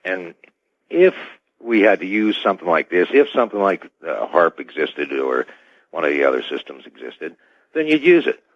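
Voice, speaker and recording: Very deep American man, dull radio line. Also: very animated, moderate pace, dry recording. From United States. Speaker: expert radio guest. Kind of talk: call-in radio interview.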